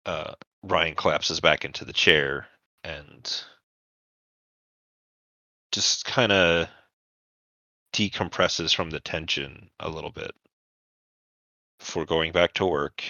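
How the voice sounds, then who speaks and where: A man narrating a tabletop roleplaying session as game master, in a halting, informal storytelling manner. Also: extremely low pitch, gravelly, pushed and bright, no room sound, lo-fi sound.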